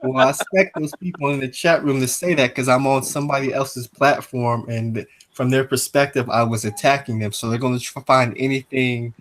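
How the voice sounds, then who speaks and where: A man speaking calmly and patiently as a guest in a casual call-in conversation. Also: medium pitch. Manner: calm, patient, measured.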